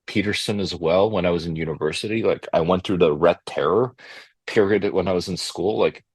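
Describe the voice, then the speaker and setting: Low, husky young man. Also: dry recording. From Montreal, Canada. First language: French. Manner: animated, rapid, frequent fillers and restarts. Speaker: guest. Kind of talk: casual podcast conversation.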